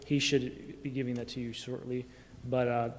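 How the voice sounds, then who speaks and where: Flat-toned man explaining, echoey room. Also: medium pitch, normal speed. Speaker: city staff member reporting to council. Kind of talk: informal explanation at a public meeting. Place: indoor council chamber. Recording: echoey chamber with faint noise.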